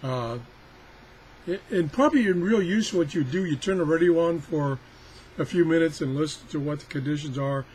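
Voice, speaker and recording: A man's voice: a little high, moderate pace, darkish tone, a little hiss or hum, low-quality recording. A man giving a casual hands-on product demonstration as a video reviewer, rambling and thinking aloud. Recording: weather radio chattering in the background.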